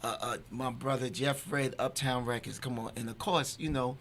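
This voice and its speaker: A man's voice: medium pitch, steady tone, moderate pace, fairly bright tone, slight background noise. A man, a singer being interviewed, promoting casually and emphatically, repeating phrases for effect.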